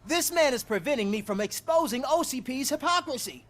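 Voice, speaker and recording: A woman's voice: high voice, animated, a little fast. A woman playing a self-righteous activist in a video game, declaiming in an indignant, accusing manner. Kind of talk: video game cutscene acting.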